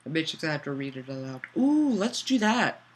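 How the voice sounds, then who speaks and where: Casual streamer-author, mid-pitched voice, loose chatty delivery, answers chat aloud, some faint hiss. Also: very animated, brisk. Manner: loose, with many fillers and restarts.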